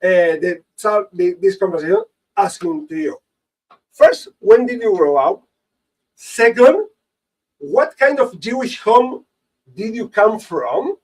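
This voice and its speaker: Host: halting man, swooping high voice, distant mic. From Latin America. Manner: halting, repeats words, animated pitch. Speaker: host, rabbi. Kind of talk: hosting a panel conversation.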